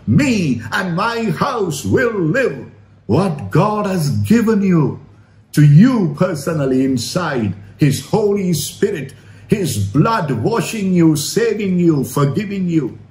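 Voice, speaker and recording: Slow, swooping male preacher in echoey room. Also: sits high, big pitch swings, darkish tone. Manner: earnest, exhorting, repeats phrases for emphasis. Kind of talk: sermon retelling a Bible story. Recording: roomy, echoing sound.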